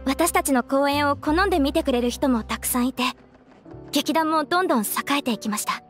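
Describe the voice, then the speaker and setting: A woman's voice: sits high, brisk, faint music behind, lightly acted. A woman voicing a polite, devoted, wistful young theatre performer in a game, earnest and formal. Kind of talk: Japanese game voice acting.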